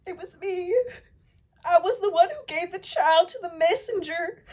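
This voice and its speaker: A woman's voice: very high-pitched, a little slow, a little mellow. A woman playing a frightened, pleading shepherd servant in an amateur puppet show, stammering and wailing. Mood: tearful, giving in. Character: frightened, sobbing old-time shepherd servant, pleading and stubborn.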